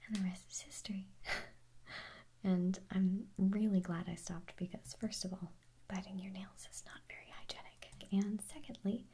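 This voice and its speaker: A young woman answers viewer questions in a soft, airy whisper for an ASMR video. Her tone is mellow and slightly husky. She talks in a loose, rambling way, often restarting her sentences. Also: medium pitch, fairly even intonation, moderate pace. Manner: chatty, rambling, self-correcting, giggly.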